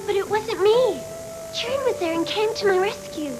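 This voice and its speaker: A woman's voice: extremely high pitch, animated, moderate pace. A woman voicing a devoted, fierce young cyborg warrior girl in an anime dub, earnest and dramatic. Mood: anxious, soft. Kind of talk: dubbed anime voice acting. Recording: music behind, old VHS tape dub.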